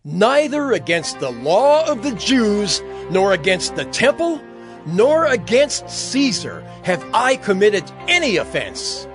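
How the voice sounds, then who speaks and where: A man's voice: high-pitched, very animated, slow, over music, exaggerated voice. An actor playing an earnest, defiant prisoner in a dramatized audio Bible, declaiming his defense with passion. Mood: earnest defiance. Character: Paul, male accused prisoner, earnest and defiant.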